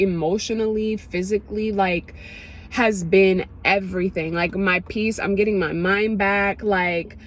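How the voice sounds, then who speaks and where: A young woman from Ethiopia, talking to camera in a casual, emotional way, full of fillers and quick asides as she gets excited. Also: mid-range voice. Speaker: vlogger.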